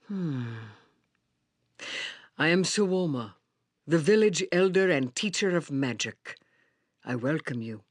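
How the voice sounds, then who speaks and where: An old female village elder and teacher of magic. She is grave yet courteous, talking very slowly and haltingly in a husky, breathy voice. It is fantasy game acting with a close, dry sound. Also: rumbling low, no echo, full character voice. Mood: grave, guarded. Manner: slow, solemn, halting, courteous.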